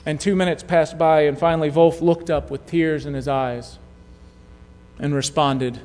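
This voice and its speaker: A man preaching a sermon, telling a story in a measured way that drops to a hush at key moments. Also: on the high side, steady tone. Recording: long hall reverb.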